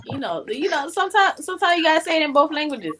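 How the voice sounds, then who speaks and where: A young woman with a very high, bright voice hosts a casual podcast. She talks in a playful, slangy way and jokes around. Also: big pitch swings, fast, slight background noise. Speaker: podcast host. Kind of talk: casual chat.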